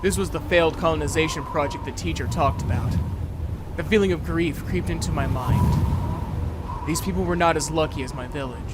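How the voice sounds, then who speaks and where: A man's voice: a little high, heavy background noise, natural voice. A man playing an earnest young male student who narrates his own story in a brooding, first-person audio drama. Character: earnest young male student, a beast-folk huntsman in training. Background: background music.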